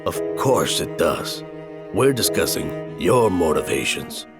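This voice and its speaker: Man acting a very deep, patient advisor. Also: sing-song, exaggerated voice. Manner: measured, patient, questioning. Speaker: voice actor. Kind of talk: audio drama dialogue. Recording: music under the voice.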